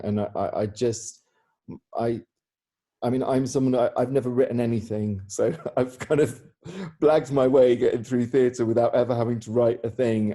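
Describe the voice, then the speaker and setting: Dark-voiced man, informal and encouraging interviewer. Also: lowish voice. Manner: rambling, hesitant, encouraging. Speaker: interviewer, theatre practitioner. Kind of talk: warm informal Q&A on a video call. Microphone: video call.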